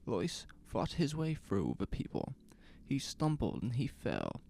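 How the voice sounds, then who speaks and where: A man narrating a scripted horror story in a deliberate, suspenseful, dramatic manner. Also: mid-range voice, wildly swooping pitch, vocal fry, warm tone. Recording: faint noise under ambient sound effects.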